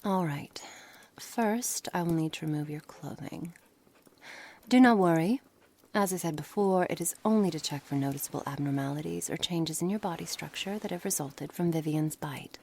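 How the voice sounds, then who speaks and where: A woman playing a cold, curious, menacing witch in an ASMR roleplay, speaking formally and condescendingly, often dropping into breathy near-whispers. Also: deep voice, normal speed, darkish tone. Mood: coaxing, controlled. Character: cold, menacing, curious witch experimenting on her captive pet. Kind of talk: ASMR roleplay, scripted dramatic monologue. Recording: noisy background ambience.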